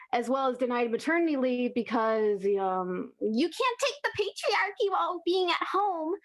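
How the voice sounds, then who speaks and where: A breezy, wry demon played by a woman delivers a comic stage monologue. The voice is a bit high and very animated in pitch. The delivery is casual and drily mocking.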